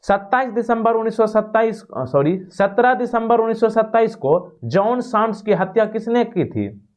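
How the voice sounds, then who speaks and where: A man's voice: high voice, dull audio. A man teaching in quiz format, explaining and repeating questions and answers like an exam-prep tutor. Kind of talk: quiz lesson, reading questions then giving answers.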